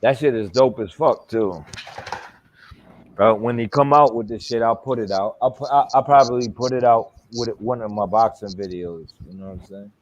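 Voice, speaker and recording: A man's voice: mid-range voice, normal speed, muffled recording, slight background noise. A man in his forties telling stories in a loose, laughing way, swearing freely in casual chat. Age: 40s.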